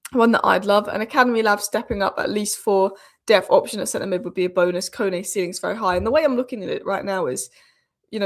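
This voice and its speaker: Sniffly woman with a cold. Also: mid-range voice. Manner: chatty, opinionated, rambling with fillers and restarts. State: has a cold, sniffly and sore-throated. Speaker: football channel host. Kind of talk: casual live-stream commentary.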